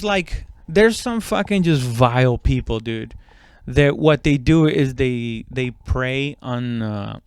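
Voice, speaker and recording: A man in his thirties tells a story in a casual, comedic way, with a mid-range voice that is smooth and clear. He rambles, doubles back on himself and swears freely. The sound is dry, with no room echo. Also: mid-range voice. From Mexico, moved to the US at seven. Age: 30s. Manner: profane, rambling, self-interrupting. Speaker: stand-up comedian.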